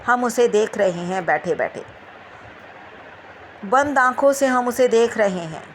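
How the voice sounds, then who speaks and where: Slow-paced woman guiding meditation. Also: on the high side, animated, slight background noise. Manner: gentle instruction with repeated phrases and long pauses. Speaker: guided meditation teacher. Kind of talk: spoken guided meditation.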